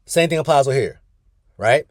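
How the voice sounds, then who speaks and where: A man's voice: mid-range voice, expressive, moderate pace, no room sound, clean studio sound. A man coaching a weight-loss group on a video call from his car, talking casually and often calling the group "y'all". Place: inside his parked car.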